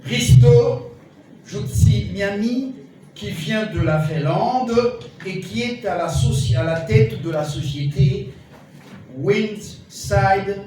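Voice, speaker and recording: High, mellow male announcer, echoey hall. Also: slight background noise. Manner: slow, formal introductions. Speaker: master of ceremonies. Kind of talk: event announcement. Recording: echoey hall, far from mic.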